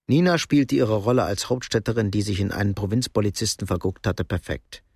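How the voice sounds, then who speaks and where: An actor narrating a Cold War thriller radio drama in a sober, measured, literary manner. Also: male voice, fairly low pitch, a little mellow, slightly rough, no echo, studio quality. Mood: sober, suspenseful. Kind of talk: scripted narration.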